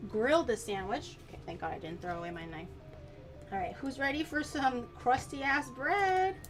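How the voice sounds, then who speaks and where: A woman whose first language is Mandarin chats away on a casual cooking stream, playful and quick to giggle. Her voice sits very high. Music plays clearly behind her. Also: animated. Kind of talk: casual cooking livestream chat. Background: background music.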